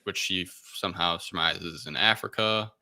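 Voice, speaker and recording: A man with a very low, fry-laden voice and a strikingly bright, forceful edge hosts a casual podcast. He retells events conversationally, often pausing and searching for the right words. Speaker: podcast host. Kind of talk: casual spoken movie plot recap.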